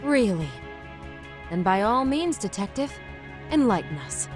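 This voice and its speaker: A woman's voice: mid-range voice, big pitch swings, unhurried, music in the background. An actress playing an earnest, worried female homeroom teacher in an English video game dub, proper, pointed and skeptical. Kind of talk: English-dubbed video game cutscene acting.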